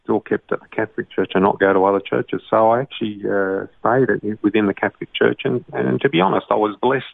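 An Australian man talks through his story in an interview, stopping and restarting often and filling pauses with "uh". His voice sits low and gravelly. It comes through a dry, narrow, phone-like line. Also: fairly even intonation, no echo. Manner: hesitant, many uh fillers. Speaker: guest, doctor and songwriter. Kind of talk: casual interview.

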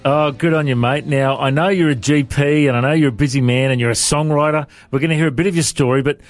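A man's voice: a little high, steady tone, fast talker, no room sound, faint music behind. An Australian man hosting a podcast, asking easygoing, friendly questions in a casual interview. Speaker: podcast host.

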